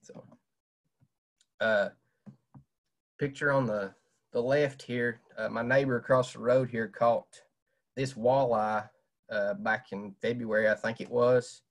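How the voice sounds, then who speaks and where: A man's voice: mid-range voice, fairly even intonation, slow, long pauses. A young man, giving a casual fishing talk over a webcam with many "uh" fillers. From Southwest Virginia. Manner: plain-spoken, many "uh" fillers. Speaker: fishing presenter. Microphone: webcam on video call.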